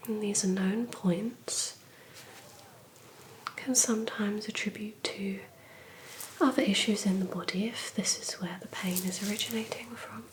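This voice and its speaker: A woman whispering gently and breathily in an ASMR medical roleplay, calm, reassuring and unhurried like a clinician. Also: medium pitch. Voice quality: breathy, mostly unvoiced whisper. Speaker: ASMR performer playing a calm clinician doing an ear exam. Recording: lo-fi recording with noise and clicks.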